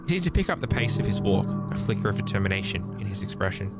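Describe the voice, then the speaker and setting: A man with a low voice narrates a story game aloud for a stream. His delivery moves widely in pitch and is dramatic. Prominent music plays behind him. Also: sing-song, quick pace. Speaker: streamer reading a visual novel aloud. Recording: music under the voice.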